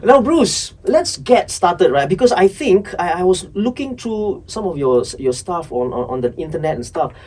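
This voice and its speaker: Singaporean podcast host, halting eager questions. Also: male voice, high voice, very animated, a little mellow, breathy edge, recorded from a distance. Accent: Singaporean English. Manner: hesitant, repeats words, eager questions. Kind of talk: casual interview.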